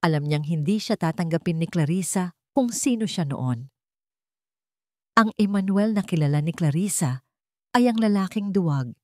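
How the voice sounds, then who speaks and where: Low-voiced Filipino woman narrating drama. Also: darkish tone, no room sound, clean studio sound. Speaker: story narrator. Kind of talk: scripted dramatic storytelling narration.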